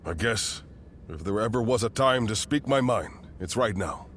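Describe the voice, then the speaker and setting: An actor playing an outspoken, principled veteran general of ancient China in a video game cutscene, speaking earnestly and deliberately. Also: male voice, lowish voice, lively intonation, a bit bright, slight background noise. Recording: orchestral music with fiddle behind the voice.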